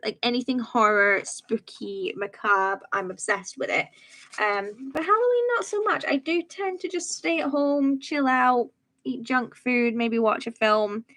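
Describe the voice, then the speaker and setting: A young Scottish woman with a soft Edinburgh accent that comes across a little posh. She talks in a loose, rambling way, full of "um"s and "like"s, as she chats through a livestream. Her voice sits a bit high. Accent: soft Edinburgh Scottish, somewhat posh-sounding. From Edinburgh, Scotland. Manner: chatty, rambling, laughs easily, many "um"s and "like"s. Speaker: YouTube livestream host. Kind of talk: casual chat while crafting.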